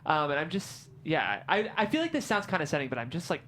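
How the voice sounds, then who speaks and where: A woman's voice: low-pitched, big pitch swings, fast, fairly bright tone, a little hiss or hum. A woman hosting a casual panel discussion in a chatty, encouraging way. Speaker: panel host.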